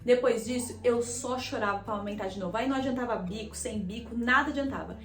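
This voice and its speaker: A woman's voice: a little high, fast talker, a bit bright, roomy sound. A young Brazilian woman vlogger telling a personal story to her viewers in a chatty, confessional way. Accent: Brazilian Portuguese. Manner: chatty, addresses viewers directly as "gente". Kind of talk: casual confessional storytelling to camera.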